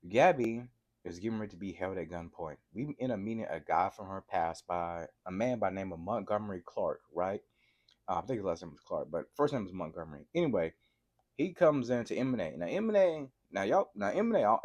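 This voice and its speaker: Guy with a cold doing a tv recap vlog, real low deep voice, gets all hyped. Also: a little fast. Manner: excitable, keeps asking "right?" to viewers. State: congested from a cold, sore throat. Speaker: TV episode reviewer.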